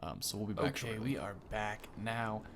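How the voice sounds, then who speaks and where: A man's voice: fairly low pitch, brisk, slight background noise. A man hosting a gaming video, giving casual, easily impressed first-look commentary that rambles and corrects itself.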